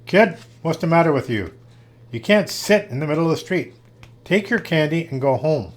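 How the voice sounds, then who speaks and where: A man's voice: a little high, expressive, normal speed, close to an everyday voice. Man putting on a blunt, exclamatory policeman. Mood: scolding. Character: gruff, scolding but obliging policeman in a storybook.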